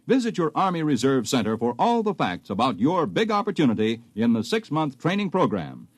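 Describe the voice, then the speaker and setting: A man's voice: medium pitch, huge pitch swings, fairly quick, no echo. A man announcing scripted promotional spots in the polished style of 1950s radio.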